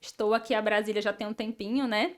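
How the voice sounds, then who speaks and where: A woman's voice: medium pitch, steady tone, quick pace. A woman in her thirties from Ceará, Brazil, a guest architect telling her life story in casual, chatty interview talk with frequent tag questions. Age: 30s.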